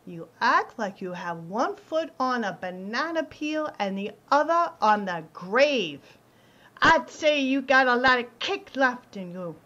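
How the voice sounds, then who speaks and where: Woman playing a lively, teasing wife. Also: on the high side, measured pace, highs cut off. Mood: fond teasing. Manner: wry, teasing. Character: teasing, affectionate working-class Irish-American wife. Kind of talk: radio play acting.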